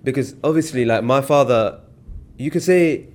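A young British man, telling stories in a relaxed, slangy way as a podcast guest. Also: mid-range voice, normal speed. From Britain. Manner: relaxed storytelling with slang and fillers. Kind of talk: casual podcast chat.

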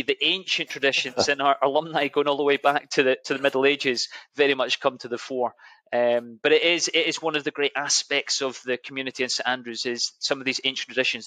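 A man's voice: mid-range voice, pushed and bright, no room sound. A man with a Scottish accent, an admissions officer answering interview questions with enthusiasm and frequent restarts. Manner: enthusiastic, hesitant with many restarts.

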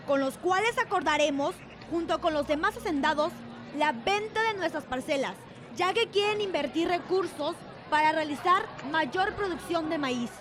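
A woman acting a haughty, imperious Mexican landowner who looks down on her workers, in an amateur radio drama. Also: very high-pitched. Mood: formal, commanding. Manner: imperious, scolding. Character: haughty, harsh female landowner boss, contemptuous of workers.

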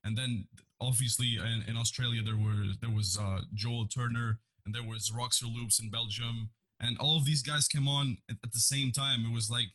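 A man tells his story thoughtfully over a video call. His voice is husky and sits at a middle pitch. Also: a bit bright, low-quality recording. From Madeira, Portugal. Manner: thoughtful storytelling with fillers and restarts. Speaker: guest, beatboxer. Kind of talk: casual interview chat.

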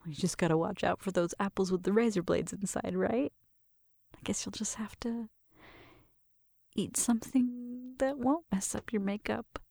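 Breathy, whispery flirty roommate played by a woman. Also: medium pitch, moderate pace, dry recording, studio quality. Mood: gentle, focused. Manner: soft whispering mixed with murmured talk, shy and teasing. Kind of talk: ASMR roleplay, intimate one-sided conversation.

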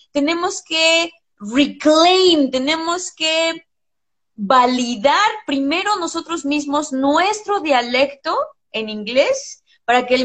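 A woman speaks in a high, bright register. She is an English teacher in her thirties, blunt and fired up, dropping swear words freely in a relaxed interview. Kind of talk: casual interview chat.

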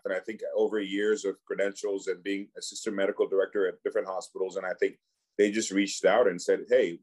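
A man in his forties from Cameroon, a physician, speaks with a somewhat low voice. He talks in an easygoing podcast manner, often stopping to restart a phrase or repeat a word before he finds it. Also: darkish tone. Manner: halting, many restarts and repeated words. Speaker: physician and clinic founder, guest. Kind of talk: casual podcast conversation.

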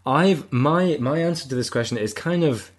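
A man's voice: on the high side, expressive, normal speed. A man with a Scottish accent chatting casually, rambling and restarting his thoughts. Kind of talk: casual chat.

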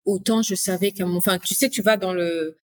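A woman's voice: medium pitch, steady tone, brisk. A woman in her late thirties, a guest in a casual interview, chatty and rambling with many fillers and restarts. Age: late 30s. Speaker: podcast guest.

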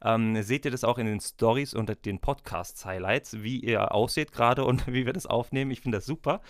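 A man's voice: medium pitch, normal speed, dry recording. Male podcast host, close dry sound. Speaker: podcast host, freelance wedding speaker. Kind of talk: podcast moderation, addressing listeners and guests.

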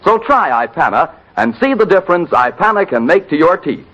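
A man's voice: sits high, sing-song, fairly quick, sharp tone. A man announcing a scripted 1940s radio commercial. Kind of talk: 1940s radio advertising read. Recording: muffled vintage radio broadcast.

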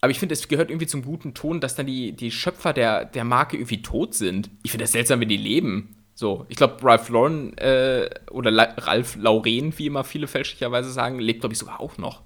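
A young man co-hosting a podcast, chatting casually, animated and quick to laugh. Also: mid-range voice. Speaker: podcast co-host. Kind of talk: casual chat.

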